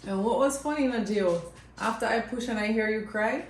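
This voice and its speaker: A young Jamaican woman casually telling a family story to camera, chatty and lively, laughing often between lines. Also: fairly high pitch, a little fast. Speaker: mother telling her son's birth story. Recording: echoey room with faint background noise.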